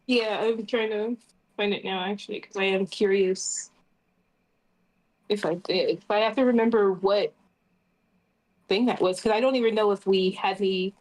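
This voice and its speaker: A woman's voice: medium pitch, fairly even intonation, normal speed, long pauses, a little mellow, low-quality recording. A woman chatting casually and playfully as a player in an online tabletop roleplaying game.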